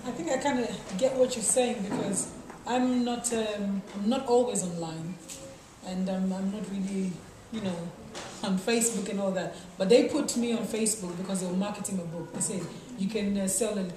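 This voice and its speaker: A woman's voice: mid-range voice, fairly even intonation, moderate pace. A woman on a writers' panel, speaking hesitantly and thoughtfully with many "um"s. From Zimbabwe. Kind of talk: panel discussion. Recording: very echoey room, noisy.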